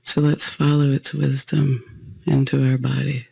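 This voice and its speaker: Male guided-meditation leader, gravelly and close in a dry space, gentle spiritual delivery. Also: medium pitch, monotone, measured pace, dry recording.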